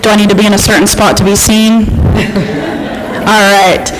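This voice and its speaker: A woman from Syria gives a casual self-introduction as a newcomer. Her voice sits at a medium pitch as she talks informally to a group. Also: flat delivery, moderate pace, background noise. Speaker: visitor introducing themself. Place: church sanctuary with handheld microphone. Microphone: handheld microphone.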